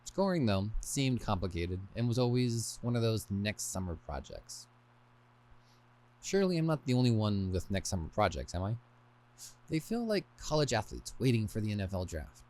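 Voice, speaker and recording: A man's voice: on the low side, sing-song, normal speed, a little mellow. A man hosting a podcast and reading aloud from a book he wrote, in a scripted style with wry, self-deprecating touches. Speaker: podcast host and author.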